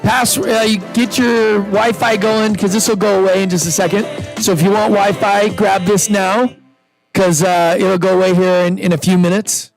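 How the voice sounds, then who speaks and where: A man hosting a live pitch event, speaking casually into a handheld microphone to an audience in an indoor room, chatty and upbeat with loose restarts. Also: level tone, moderate pace, faint music behind, rough recording. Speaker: event host and investor.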